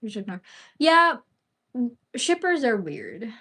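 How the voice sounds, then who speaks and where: A woman's voice: on the high side, sing-song, slow, bright, forceful tone, breathy edge. Woman streamer from the Philippines, Bisaya speaker, loose rambling chat full of fillers and casual swearing. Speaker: livestreamer.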